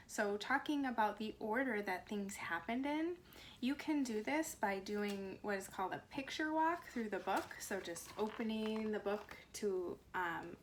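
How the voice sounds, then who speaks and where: A woman reading to young children in an expressive, deliberate way with animated phrasing and dramatic pauses. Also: a little high, slight background noise. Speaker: speech pathologist and children's storyteller. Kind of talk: expressive read-aloud storytelling for young children.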